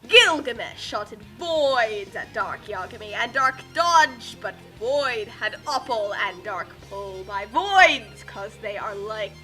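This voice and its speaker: A woman's voice: a little high, lively intonation, slow, hardly any pauses, sharp tone. A woman co-hosting a dramatic fanfic reading with casual chat, theatrical when she reads. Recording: music behind the voice. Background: music.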